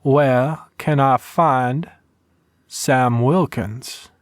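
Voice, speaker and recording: Man voicing a slow, gravelly, menacing gunslinger. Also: medium pitch, no room sound, clean studio sound. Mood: cold, deliberate. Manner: flat, slow, deliberately articulated. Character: towering menacing gunslinger stranger, cold and inhuman.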